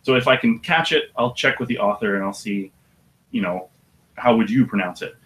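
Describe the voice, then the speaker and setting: An audiobook narrator with a deep voice, talking about his work in a relaxed, thoughtful way with some hesitation. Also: normal speed, low-quality recording. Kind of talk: casual interview chat.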